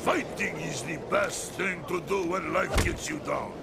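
A man's voice: a little high, measured pace, loud music behind the voice. An actor playing a big, encouraging strongman fighter in a video game, hearty and earnest. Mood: hearty encouragement. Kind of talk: video game character acting.

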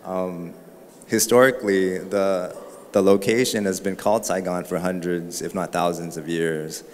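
A man's voice: low-pitched, fairly even intonation, a little slow. A man born in Vietnam, speaking thoughtfully and with some hesitation into a handheld mic at a panel talk. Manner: thoughtful, hesitant, jokes with the audience. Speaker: artist panelist. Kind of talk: panel discussion. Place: gallery room with a live audience. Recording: reverberant gallery hall.

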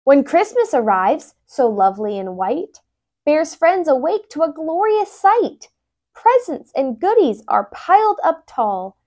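A young woman reads a children's picture book aloud in an expressive, animated way, wearing a lapel mic indoors. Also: sits high, a little slow. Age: young adult. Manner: animated, with brief asides explaining words.

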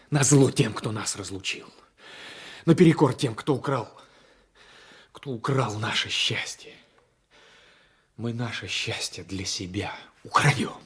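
An actor playing a passionate, vengeful gendarme and jilted lover in a radio drama, intense and insistent, often dropping to a whisper. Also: male voice, lowish voice, husky. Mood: reproachful, passionate. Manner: intense, insistent, repeating phrases. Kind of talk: 1980s radio drama acting.

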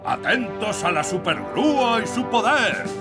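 A man's voice: high voice, very animated, unhurried. A man voicing a boastful, heroic crane machine in a Castilian Spanish cartoon dub. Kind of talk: animated children's cartoon dub. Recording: music behind the voice.

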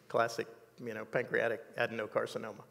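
A man in his sixties telling a personal story in an interview, measured and reflective, with many fillers, on a dark studio set. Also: mid-range voice, big pitch swings, vocal fry, long reverb tail. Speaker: interview guest.

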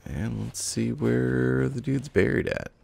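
A man's voice: mid-range voice, steady tone, slow, vocal fry, a little mellow, a little hiss or hum. A man giving casual, laid-back commentary while playing a video game, thinking aloud as he goes.

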